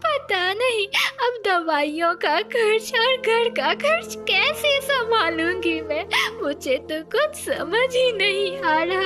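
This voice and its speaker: A woman's voice: very high-pitched, expressive, takes it slowly, bright, forceful tone, faint music behind, voice pushed up, heavily acted. A woman voicing a devoted, anguished mother bird in a cartoon story, speaking in a pleading, emphatic, melodramatic way. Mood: sobbing, despairing. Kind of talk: Hindi cartoon moral story acting.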